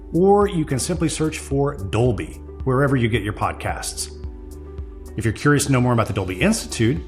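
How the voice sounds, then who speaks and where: A man narrating a scripted podcast outro in a polished, presenterly manner as host. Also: medium pitch. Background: music.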